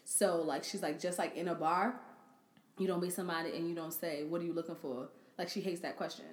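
A woman with a somewhat low voice co-hosts a casual chat, trading quick agreements and laughing a lot. The sound is roomy and echoey. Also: steady tone. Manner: laughs often, quick back-and-forth agreement. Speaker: podcast co-host. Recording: echoey room.

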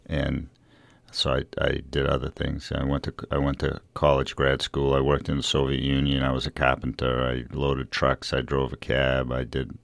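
A man's voice: extremely low pitch, monotone, normal speed, creaky, no echo. A middle-aged American man, a novelist being interviewed, speaking conversationally in a reflective, hesitant way. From Revere, Massachusetts, USA.

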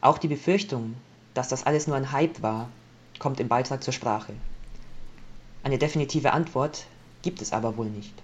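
A man reading out a prepared laudation as a jury member, in a measured, formal style. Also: mid-range voice, a little hiss or hum. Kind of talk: scripted speech, measured reading.

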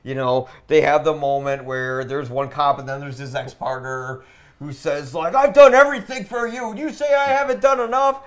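A man's voice: on the high side, normal speed. A man hosting a casual podcast, giving blunt opinions in loose conversational speech peppered with filler "like". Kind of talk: casual film criticism while gaming.

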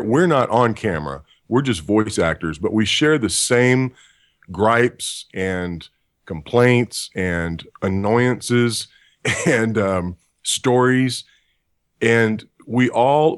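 Man, deep low voice, voice actor, deadpan jokes, dry close sound. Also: no echo. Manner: dry joking, self-deprecating. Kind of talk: interview answers.

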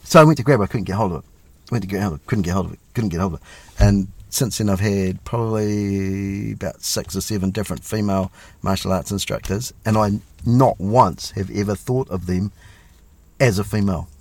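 Middle aged kiwi guy, real low voice, chatty and joking about himself. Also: a little fast, darkish tone, dry recording. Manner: chatty and self-deprecating, with lots of fillers. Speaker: police officer guest. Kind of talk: casual interview chat.

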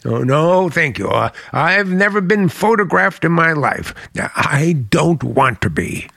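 An actor playing a charming but secretive and bitter uncle in an old-time radio drama, hesitant one moment and sharp the next. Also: male voice, fairly high pitch, big pitch swings, slow, creaky, no echo, exaggerated voice. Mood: stubborn.

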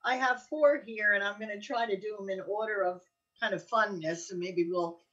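A woman's voice: medium pitch, moderate pace, fairly bright tone, slightly rough, recorded from a distance, muffled recording. An older American woman with a chatty, joking manner, telling casual anecdotes in conversation. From Allenhurst, New Jersey, United States. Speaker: co-host.

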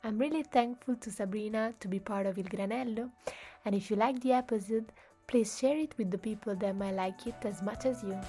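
A woman hosting a podcast who reads a scripted introduction and then asks her guest questions in an earnest, measured way. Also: medium pitch, warm tone, faint music behind. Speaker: podcast host.

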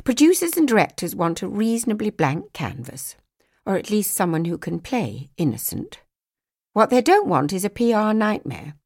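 Woman acting a hard-nosed, animated female talent agent. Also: medium pitch, dry recording, studio quality, voice pushed up. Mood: stern lecturing. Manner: brisk, blunt, emphatic lecturing.

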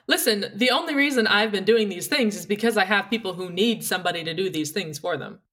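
A woman's voice: medium pitch, quick pace, fairly bright tone. A young adult woman co-hosting a casual family chat, playful and teasing, laughing often. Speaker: co-host, adult daughter.

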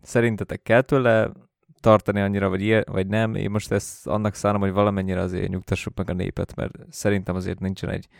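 A man's voice: on the low side, level tone, vocal fry, dry recording, studio quality. A man hosting a podcast in casual chat, with an irreverent, sweary way of talking. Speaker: podcast host.

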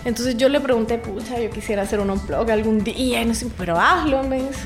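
A talkative Salvadoran woman in her forties, a singer-songwriter guest recounting things in a relaxed, laughing way. Her voice sits a little high for a woman, and music plays under the talk. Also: a little fast. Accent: Salvadoran Spanish. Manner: chatty, laughs often, restarts words. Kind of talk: casual interview chat.

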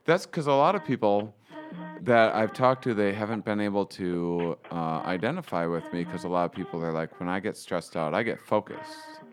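A man playing a stressed bride-to-be woman on a prank call, with a raspy voice and a halting, flustered way of talking. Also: sits low, soft background music, natural voice. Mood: rambling. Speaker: prank caller.

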